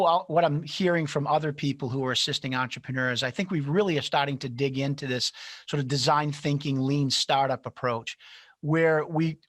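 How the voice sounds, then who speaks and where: A man with a slightly high voice hosts an online panel. He talks in a conversational, encouraging way, and the sound is fairly dry and close. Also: no room sound. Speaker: panel moderator. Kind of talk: moderating an online panel discussion. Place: video call.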